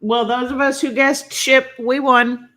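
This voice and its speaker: A woman's voice: high-pitched, steady tone, a little slow, pushed and bright. Chatty, slightly congested woman. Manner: rambling, chatty, laughs often. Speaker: vlogger hosting a live stream. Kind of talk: casual live-stream chat while building a toy.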